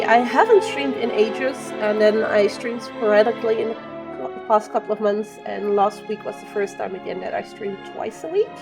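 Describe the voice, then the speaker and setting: A Dutch woman with a Dutch accent, chatting casually on a live stream and answering chat with many ums. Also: a little high. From Netherlands.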